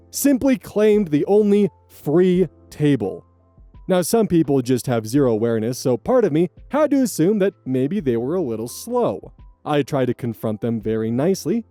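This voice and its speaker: A man reading online stories aloud as host, adding blunt, profane commentary in a casual, animated way. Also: fairly high pitch, no echo.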